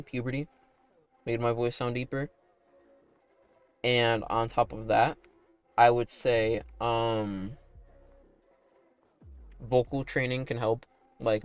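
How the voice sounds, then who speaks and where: A man chatting casually on a livestream while playing a game, with a slightly raspy, deepened broadcast voice and a rambling manner full of likes and tag questions. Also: no room sound. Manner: talking in a put-on broadcast voice. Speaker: animator and streamer.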